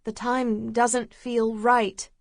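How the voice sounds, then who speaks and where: Woman narrator as a panicky young woman in an audiobook: pleading, breathy, fairly high, dry and close. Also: level tone, very slow, no room sound.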